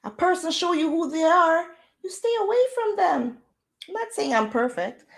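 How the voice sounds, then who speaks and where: A Jamaican woman hosting a casual livestream chat in a loud, projecting voice, firing off rhetorical questions with easygoing slang. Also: very high voice, expressive, normal speed, gravelly. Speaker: livestream host.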